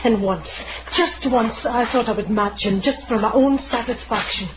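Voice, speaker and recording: Female actor as haughty, embittered period wife for radio drama, highish voice, dull sound. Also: normal speed, lightly acted. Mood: bitter. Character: proud, bitter married lady with a secret. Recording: muffled, noisy vintage radio recording.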